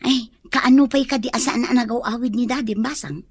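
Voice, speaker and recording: A woman's voice: on the high side, steady tone, brisk, a little mellow, husky, dry recording. A woman playing a fretful, easily exasperated aunt in a radio drama, with an Ilocano Filipino accent.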